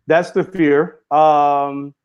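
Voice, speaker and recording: A man's voice: on the high side, level tone, very slow, clear, resonant tone, lo-fi sound. A man giving an earnest interview as a guest author and speaker, running his sentences together with fillers. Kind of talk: casual interview conversation.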